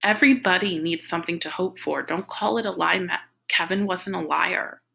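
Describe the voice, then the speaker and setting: A woman reading the part of a worried, gentle female doctor in a novel, consoling and candid. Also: fairly low pitch, normal speed, gravelly, full character voice. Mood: gentle, frank.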